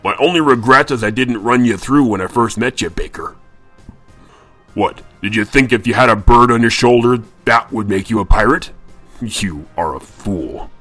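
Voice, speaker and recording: An actor playing a menacing, murderous pirate captain who bellows threats, with music behind him. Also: male voice, mid-range voice, moderate pace, fairly bright tone, no echo, close to an everyday voice. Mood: cold threat.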